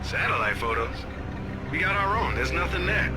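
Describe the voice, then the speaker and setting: A man playing an excitable, informal tech sidekick in a video game cutscene. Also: on the high side, normal speed. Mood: casual. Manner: animated, informal. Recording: loud music over dialogue.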